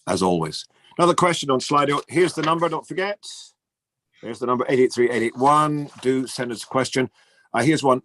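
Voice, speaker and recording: A man moderating a panel discussion, passing on audience questions in a brisk, businesslike way. His voice sits at a middle pitch and the sound is dry and close. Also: a bit bright, no room sound.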